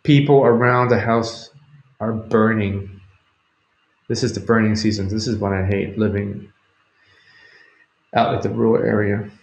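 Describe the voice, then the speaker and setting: A man's voice: lowish voice, fairly even intonation, measured pace, gravelly, pauses often. Man chatting in an echoey room. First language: Cantonese. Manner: rambling, hesitant, thinking aloud. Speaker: livestream host. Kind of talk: casual live chat with viewers. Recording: roomy, echoing home recording.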